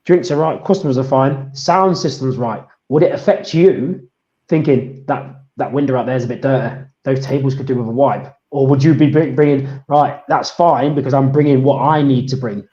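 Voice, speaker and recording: A man co-hosting a casual football podcast, speaking in a thoughtful, measured way. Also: medium pitch, dark tone. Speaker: podcast co-host. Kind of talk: casual chat. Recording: dull, roomy podcast audio.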